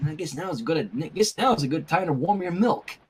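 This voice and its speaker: Storybook teen girl babysitter, played by a man: flustered, anxious, husky voice with lively swings. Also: fairly high pitch, a little fast, voice pushed down. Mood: casual, wry.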